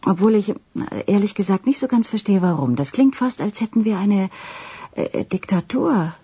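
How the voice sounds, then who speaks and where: An actress playing a cheeky, persistent TV reporter in a radio drama, coaxing and teasing with gentle irony. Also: female voice, mid-range voice, expressive, normal speed, husky. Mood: persuasive. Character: sly, cheeky, persistent female TV news reporter.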